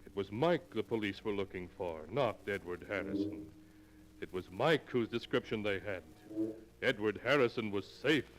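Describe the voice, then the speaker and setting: A man narrating an old-time radio mystery in a measured, suspenseful delivery. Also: fairly low pitch, slight background noise. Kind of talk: scripted dramatic narration.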